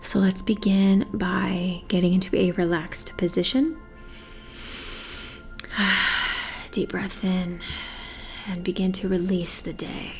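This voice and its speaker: A woman leading a guided meditation in a soothing, measured manner, with breathy phrase endings and pauses between phrases. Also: on the low side, steady tone, a little mellow, soft background music.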